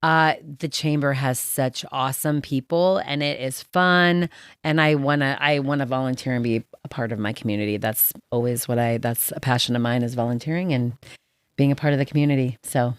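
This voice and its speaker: A middle-aged woman with a deep voice, a guest chatting casually and telling stories with lots of fillers. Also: fairly quick, clean tone, dry recording. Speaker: guest business owner. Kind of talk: casual chat. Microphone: studio mic.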